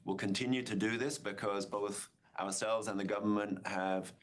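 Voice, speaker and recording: Man, low voice, company executive, formal, measured, earnings call answer. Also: level tone.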